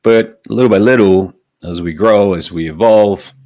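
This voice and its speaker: A man's voice: on the low side, normal speed, a little mellow, dry recording. A man from Venezuela, giving a reflective spiritual talk as a meditation teacher and often asking rhetorical questions. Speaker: meditation teacher hosting a live stream.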